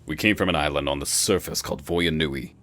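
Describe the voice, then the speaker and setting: A stern warrior hero voiced by a man with an extremely deep voice. He bargains in a measured, firm manner in an animated fan dub.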